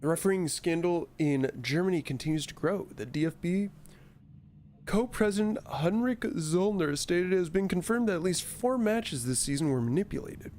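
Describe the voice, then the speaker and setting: A man's voice: high-pitched, animated, moderate pace, darkish tone, slight background noise. A man casually commentating on a game and reading text aloud, with a wry, mildly profane manner. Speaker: streamer playing a horror game.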